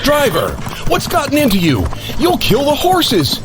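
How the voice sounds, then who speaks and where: A man's voice: very high-pitched, huge pitch swings, measured pace, music in the background, full character voice. A man playing an anxious young traveling businessman, urgent and questioning in an audio drama. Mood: alarmed. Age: young adult.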